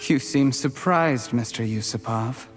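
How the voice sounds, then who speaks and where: An actor playing a deferential but quietly resentful schemer of humble birth in a video game, speaking politely and with measured care. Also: male voice, mid-range voice, long reverb tail, over music, close to an everyday voice. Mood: cold, vengeful.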